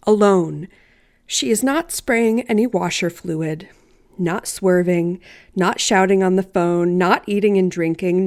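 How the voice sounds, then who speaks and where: A woman storyteller reading a personal story aloud in a deliberate, theatrical, wryly humorous way. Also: mid-range voice. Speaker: writer and storyteller.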